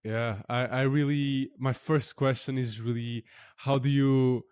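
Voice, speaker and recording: A man's voice: medium pitch, fairly even intonation, unhurried, a little mellow, clear, resonant tone, no room sound, studio quality. A man hosting a podcast, asking long, hesitant questions full of fillers. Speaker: podcast host. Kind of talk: interview questions.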